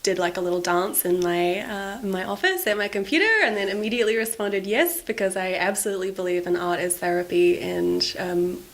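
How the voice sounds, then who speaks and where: Creaky-voiced woman. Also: medium pitch, a little fast, hardly any pauses. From New Zealand. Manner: earnest, restarts phrases, laughs easily. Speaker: artist guest. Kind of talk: casual conversation.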